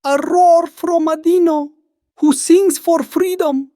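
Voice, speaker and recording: A woman's voice: very high-pitched, fairly even intonation, slow, dark tone, a little hiss or hum. A woman voicing a plucky tiny dinosaur pop star in a puppet show, earnest and a little dramatic. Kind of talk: puppet show character acting.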